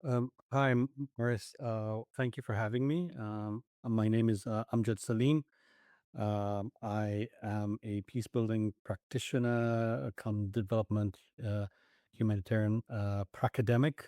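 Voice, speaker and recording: A man's voice: fairly low pitch, steady tone, a little slow, mellow, no room sound, studio quality. A man in his forties, raised in Nigeria, Ethiopia and the UK, speaking reflectively as an interview guest with many restarts. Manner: reflective, with frequent restarts and fillers. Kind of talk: conversational interview.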